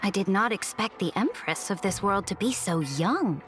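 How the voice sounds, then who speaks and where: A woman's voice: mid-range voice, animated, moderate pace, a bit bright, soft background music, lightly acted. A woman voicing a proud young conquering empress in a video game, speaking formally and haughtily. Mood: regal, musing. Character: proud young-looking female conquering emperor, regal and imperious. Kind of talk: video game character acting.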